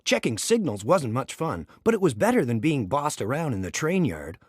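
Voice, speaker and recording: A man reading a children's story aloud in an expressive, dramatic storytelling manner. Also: medium pitch, normal speed, breathy edge, dry recording, clean studio sound. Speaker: storybook reader.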